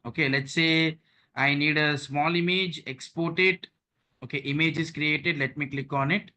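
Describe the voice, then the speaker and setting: A man's voice: on the high side, steady tone, normal speed, pushed and bright. A man leading an online training session, walking listeners through on-screen steps and often checking in with "okay" and "right".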